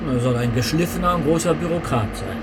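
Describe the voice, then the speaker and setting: An actor playing a man in his sixties, an aloof conductor, measured and scornful. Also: a little high, expressive, background noise. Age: 60s.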